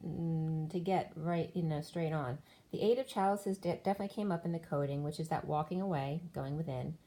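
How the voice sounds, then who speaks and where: A woman's voice: low voice, mellow. A woman giving a solo spiritual tarot reading, explaining cards and numbers one by one while losing her voice. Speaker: tarot reader.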